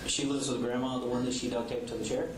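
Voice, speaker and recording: A man's voice: medium pitch, level tone, quick pace. A man conducting an investigative interview, asking polite, methodical questions and repeating answers back. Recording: echoey, noisy room recording from far off.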